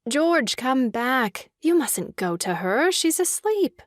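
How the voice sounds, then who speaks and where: Woman acting a sweet, slow-spoken young sister. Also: high-pitched, sing-song, dry recording, clean studio sound, heavily acted. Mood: gently coaxing. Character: gentle, fond young sister, sweetly soothing. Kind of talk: comic stage farce acting.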